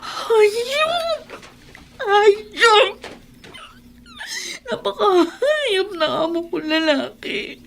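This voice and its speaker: A sobbing migrant maid. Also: female voice, extremely high pitch, lively intonation, extremely slow, creaky, pauses often, full character voice. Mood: anguished. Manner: distraught sobbing, then hushed and forced cheer. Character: anguished young domestic helper abroad, wife and mother. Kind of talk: radio drama acting.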